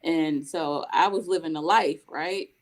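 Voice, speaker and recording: A woman's voice: deep voice, a little slow. A young adult woman from Mississippi, raised in North Carolina, chatting as a guest and telling long stories with frequent laughs. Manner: chatty, laughs often, long storytelling answers. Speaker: guest, spoken word poet. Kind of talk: casual interview chat.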